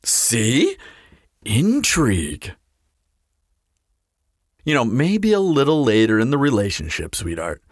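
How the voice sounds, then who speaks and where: A man's voice: medium pitch, sing-song, takes it slowly, pauses often, no room sound, clean studio sound. A man playing a teasing, flirtatious immortal vampire in an audio roleplay, with a playful, sardonic, theatrical drawl. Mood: coy, teasing. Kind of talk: scripted audio roleplay acting.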